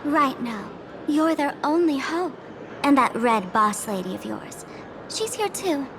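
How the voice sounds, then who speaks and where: A woman voicing a cocky, showy young woman in a video game, with a bright, girlish, taunting delivery. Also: high-pitched, animated, a little slow, noisy background. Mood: smug, teasing. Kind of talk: video game character acting. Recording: game music behind.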